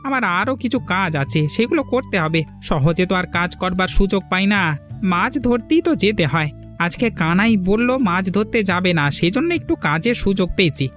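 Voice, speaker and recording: A man's voice: high-pitched, lively intonation, a little fast, a bit bright, faint music behind. A man voicing a cautious village fisherman who earnestly warns his friend in a cartoon. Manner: earnest, warning. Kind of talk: cartoon voice acting.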